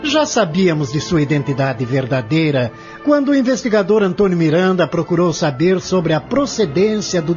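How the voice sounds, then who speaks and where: A man's voice: high voice, darkish tone. A man playing a formal, confident, accusing prosecutor in an audio drama, speaking emphatically. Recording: muffled lo-fi audio with music.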